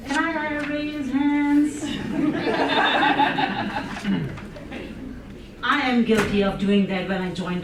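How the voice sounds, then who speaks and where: A woman with an Indian accent giving an interactive speech, asking the audience questions and laughing often. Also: fairly high pitch. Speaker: public speaker. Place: conference room with audience. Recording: echoey room, voice from far off, noisy.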